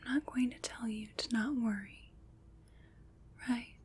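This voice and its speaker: A woman playing a gentle, caring witch in an ASMR roleplay, speaking in a breathy whisper with soothing, reassuring pauses. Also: fairly high pitch, a little hiss or hum, natural voice. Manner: slow, soothing, reassuring, pausing between short phrases. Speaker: ASMR performer.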